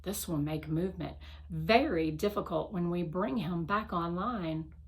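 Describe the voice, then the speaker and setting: A woman narrating a children's novel aloud with careful, expressive storytelling. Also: lowish voice, a little slow.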